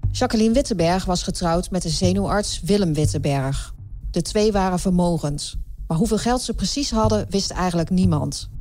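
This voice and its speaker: A woman narrates a scripted true crime story in a dry, monotone delivery. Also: lowish voice. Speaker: podcast narrator.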